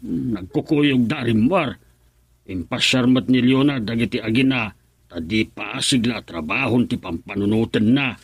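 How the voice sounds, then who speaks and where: A man's voice: mid-range voice, unhurried, husky, no room sound. An actor with an Ilocano accent playing a grown man in a family radio drama. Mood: insistent.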